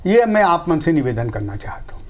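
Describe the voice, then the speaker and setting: A man with a slightly high voice and a Chhattisgarhi accent makes his case in a political debate. He sounds like a party spokesperson, assertive and given to restating his claims. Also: big pitch swings, brisk.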